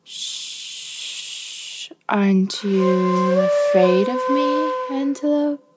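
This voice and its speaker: A woman voicing a long, mysterious, calm female snake in a children's story, hushed and breathy, drawing out phrases between hisses. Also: medium pitch, low-quality recording. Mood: slow, teasing. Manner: drawn-out phrases broken by long shushing hisses. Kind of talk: children's storybook character acting. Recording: loud music behind the voice.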